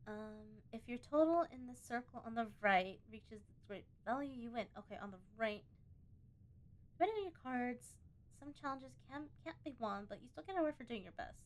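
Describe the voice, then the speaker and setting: A woman doing a casual let's play, reading on-screen game text aloud and breaking off to think out loud. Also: a little high, lively intonation, normal speed, low-quality recording. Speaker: gaming video host.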